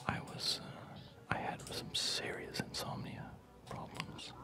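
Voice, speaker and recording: A tired, rambling ASMR host whispering casual commentary while playing a video game, with hesitant, loosely connected phrasing. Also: slow.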